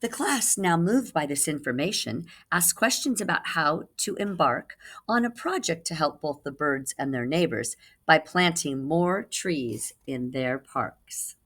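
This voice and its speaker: A woman's voice: low-pitched, expressive, unhurried, few pauses, fairly bright tone. A woman reading a children's picture book aloud as a teacher would, putting dramatic emphasis on key words for young listeners. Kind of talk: expressive children's read-aloud.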